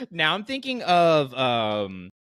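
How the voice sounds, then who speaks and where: A man hosting a casual fan podcast, talking in an animated, rambling way as he thinks aloud. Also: fairly high pitch, very slow, clear, resonant tone, no room sound, studio quality. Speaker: podcast host.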